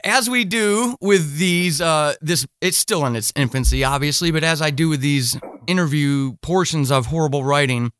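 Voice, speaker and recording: A man's voice: fairly high pitch, moderate pace, few pauses, a bit bright, a little hiss or hum. A man hosting a conversational podcast interview in an enthusiastic, effusive manner.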